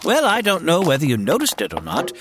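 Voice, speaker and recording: A man's voice: a little high, huge pitch swings, fairly quick, crowd noise. A man voicing an earnest, reassuring scientist in an old-time radio drama. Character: earnest older male scientist. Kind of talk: 1930s radio drama acting.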